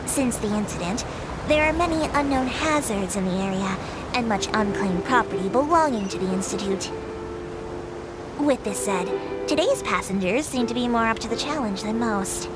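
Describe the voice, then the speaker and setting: A woman's voice: a little high, heavy background noise, lightly acted. A woman voicing a small, polite creature tour guide in a video game, speaking formally and precisely with dry matter-of-fact asides. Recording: loud music under the voice. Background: music.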